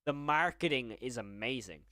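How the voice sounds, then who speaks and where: A young man with a slight stutter, chatting excitedly on a live stream and often restarting his sentences. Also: medium pitch, normal speed, no room sound, studio quality. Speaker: horror YouTuber streaming live. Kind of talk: casual live-stream chat.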